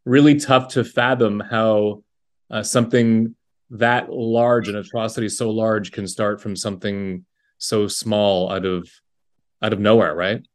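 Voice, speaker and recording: A man hosting a discussion, speaking in a conversational, halting and reflective way. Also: fairly low pitch, steady tone. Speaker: discussion host. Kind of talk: conversational interviewing.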